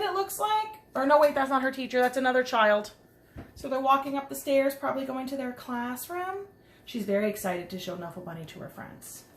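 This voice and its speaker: A woman's voice: high voice, fairly bright tone. A woman preschool teacher reading a picture book aloud to children, expressively, with chatty asides and simple explanations. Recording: roomy, echoing sound.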